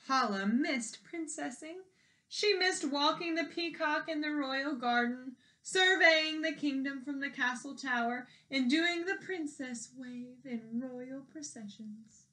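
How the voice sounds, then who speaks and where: Bright-voiced woman reading to children. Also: sits high, slow pace, low-quality recording. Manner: animated, explanatory, speaking as to young children. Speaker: storyteller reading a picture book aloud. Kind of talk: expressive children's read-aloud with questions to listeners.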